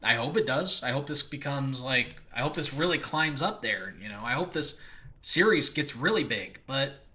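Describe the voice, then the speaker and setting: Male podcaster, bright forceful voice, mid pitch; effusive, rambling solo host who keeps interrupting himself. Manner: excitable, gushing, effusive; rambling, halting, self-interrupting. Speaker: host and creator of an audio drama channel. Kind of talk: casual solo podcast talk to listeners.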